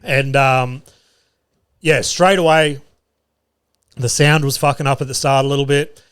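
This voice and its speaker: A man's voice: medium pitch, fairly even intonation, unhurried, fairly bright tone, clean tone. A young man hosting his solo podcast, telling comedy-gig stories in a loose, sweary way and laughing at his own jokes. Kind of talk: casual solo podcast storytelling. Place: studio.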